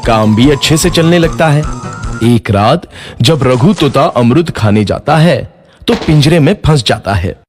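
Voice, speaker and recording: A man's voice: mid-range voice, animated, unhurried, faint music behind. A man narrating a scripted moral story for children.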